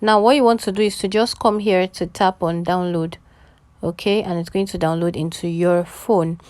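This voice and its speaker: A woman's voice: on the low side, fairly even intonation, normal speed, a little mellow, no room sound. A woman hosting a tutorial, explaining step by step and often checking in with short questions. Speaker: tutorial host.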